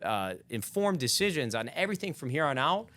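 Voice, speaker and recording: A man's voice: a little high, big pitch swings, brisk, a bit bright. A man in his thirties talking candidly on a podcast, reflective and rambling. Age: 30s. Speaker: podcast guest. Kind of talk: casual podcast chat.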